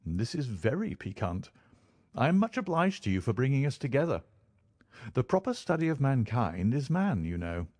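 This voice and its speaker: A man's voice: mid-range voice, big pitch swings, moderate pace, a little mellow, no echo, pitched above the performer's own voice, lightly acted. A man plays an affable army doctor from a storybook, frank and full of curiosity. The voice is natural and inquisitive, recorded close with very little room sound. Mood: curious. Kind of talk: audiobook character dialogue.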